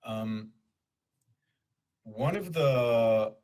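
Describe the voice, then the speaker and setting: A man who grew up in Kenya giving a conference presentation in a measured, explanatory way, pausing for emphasis. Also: mid-range voice, expressive, darkish tone. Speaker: conference speaker for a nonprofit.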